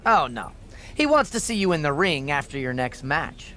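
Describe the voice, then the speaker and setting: A woman's voice: deep voice, very animated, normal speed, fairly bright tone, slight background noise. A woman playing a breezy, smug backstage assistant in a wrestling game, with a chipper, dismissive, needling manner. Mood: reassuring. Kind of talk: acted video game cutscene dialogue.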